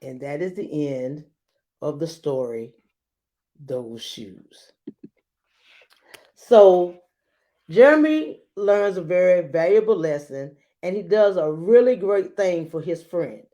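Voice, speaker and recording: Slow-talking, mellow woman reading to children. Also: on the low side, animated. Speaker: children's book read-aloud teacher. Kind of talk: storybook read-aloud with explanatory asides.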